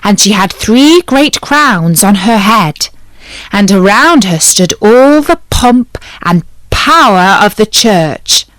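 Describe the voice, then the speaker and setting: A woman reading a fairy tale aloud in a measured storybook narration. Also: mid-range voice, no room sound, poor audio.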